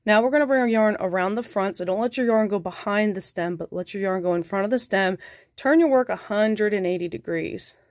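A woman with a cold, sounding nasal, calmly talking through a crochet tutorial step by step and counting stitches aloud. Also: mid-range voice, brisk, dry recording, studio quality. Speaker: crochet instructor.

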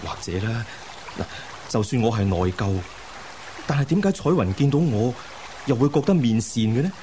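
An actor playing a remorseful, lovesick scholar-official of old China, earnest and sighing, in a Cantonese radio drama. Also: male voice, medium pitch, sing-song, moderate pace, mellow, a little hiss or hum, lightly acted. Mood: troubled, earnest.

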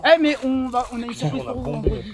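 A man's voice: very high voice, sing-song, fast talker, a crowd in the background. A man bantering casually with friends in brash slang. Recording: faint noise, handheld vlog audio.

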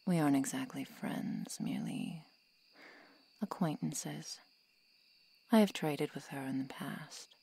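A stern female vampire, acted by a woman for an ASMR audio drama. She is low and dark in tone, husky, and speaks with slow, formal solemnity, weary of the world. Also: full character voice. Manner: measured, formal, solemn diction.

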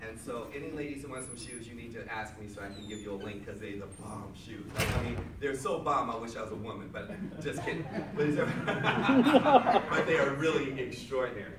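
A man giving a motivational seminar talk to a room of people, loud and emphatic, repeating his key lines back to the crowd. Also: mid-range voice, animated, a little fast, few pauses. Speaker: seminar speaker. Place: hotel conference room with seated audience. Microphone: far camera at back of room, no microphone. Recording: echoey room from far off with audience noise.

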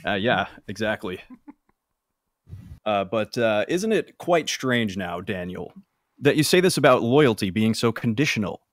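A man reading aloud casually and haltingly on a live comedy podcast. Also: fairly low pitch, lively intonation, no room sound, studio quality. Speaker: podcast panelist and reader.

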